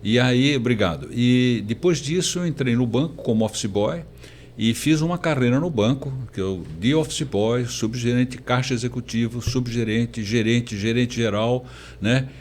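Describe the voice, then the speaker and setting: An older man, a professor, telling his story as an interview guest in a halting, conversational way. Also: medium pitch, a little mellow. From born in Portugal, emigrated very young. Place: recording studio. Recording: faint background noise.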